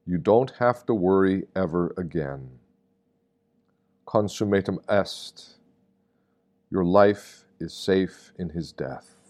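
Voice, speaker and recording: A man with a fairly deep voice reads a scripted spiritual meditation. His delivery is very unhurried and solemn. He gives measured weight to each short phrase. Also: darkish tone. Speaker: reader of meditations. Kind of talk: reflective scripted reading of a devotional meditation.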